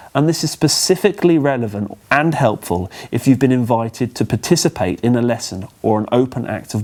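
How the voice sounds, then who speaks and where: Man, medium pitch, for scripted instructional narration; measured, clear phrasing, teacherly delivery. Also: darkish tone. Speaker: training film presenter.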